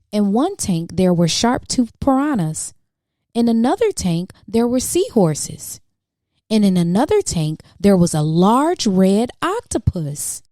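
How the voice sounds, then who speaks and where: Expressive, mellow woman reading to children. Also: medium pitch, dry recording, clean studio sound. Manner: dramatic questions and exclamations for young listeners. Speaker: children's storybook reader, channel host. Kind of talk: expressive read-aloud of a children's picture book.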